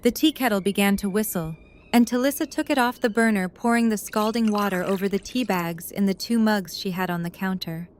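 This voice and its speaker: A woman's voice: mid-range voice, a little fast, slight background noise. A woman reading scripted third-person story narration as the narrator of an audio drama.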